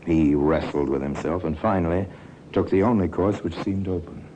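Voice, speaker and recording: A man performing as the grave, wry narrator of a 1950s radio crime drama, measured and ominous. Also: sits low, mellow, dull audio, close to an everyday voice. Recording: hissy 1950s radio recording.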